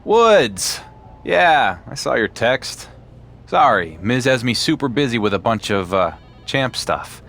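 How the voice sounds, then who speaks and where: A man's voice: medium pitch, sing-song, slow pace, creaky, a bit bright, exaggerated voice. A man playing a defiant, cocky male wrestling champion in a video game cutscene, with a dry delivery. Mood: casual.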